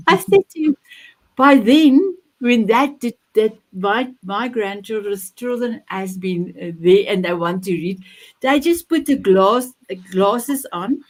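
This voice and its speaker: Older South African woman, animated storyteller. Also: on the high side, low-quality recording. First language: Afrikaans. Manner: animated storytelling, halting restarts. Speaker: guest. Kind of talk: casual chat.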